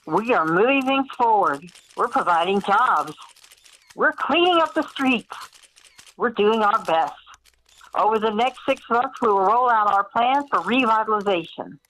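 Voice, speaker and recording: A woman's voice: mid-range voice, animated, a little slow, fairly bright tone, a little hiss or hum, low-quality recording. A woman playing a self-assured, upbeat mayor giving polished speeches in an amateur radio play. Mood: proud, rallying.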